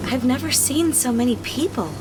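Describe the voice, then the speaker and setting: A woman voicing an eager, wide-eyed young novice sister with an earnest, excitable manner. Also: high voice, moderate pace, very noisy, lightly acted. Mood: curious. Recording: music bed under the voice.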